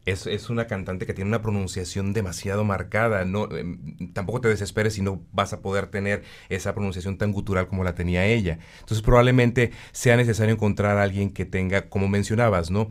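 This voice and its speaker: A man from Tijuana, hosting a morning radio interview in an animated way, often restarting his words. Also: on the low side, fairly quick, hardly any pauses. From Tijuana, Mexico. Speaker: radio host.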